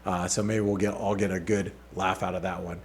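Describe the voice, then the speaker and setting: A man's voice: low-pitched, little pitch movement, brisk. A man hosting a weekly market outlook, talking casually over charts with many fillers, restarts and wry asides. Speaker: market analyst host.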